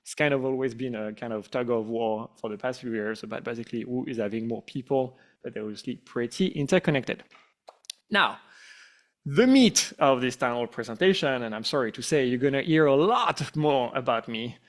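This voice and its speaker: A man's voice: medium pitch, moderate pace. French-accented male company founder. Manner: relaxed, self-deprecating, with frequent fillers. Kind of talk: town hall presentation.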